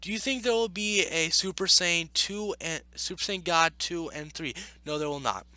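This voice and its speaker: A man's voice: on the high side, normal speed, pushed and bright, no echo. A man giving casual reaction commentary as he reads, in an exasperated, argumentative way. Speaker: YouTube commentator.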